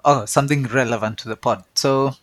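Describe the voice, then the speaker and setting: Man, casual podcast co-host, chatty, self-repeating, medium pitch. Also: a little fast, no echo. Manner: relaxed, chatty, repeats words as he thinks. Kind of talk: casual chat.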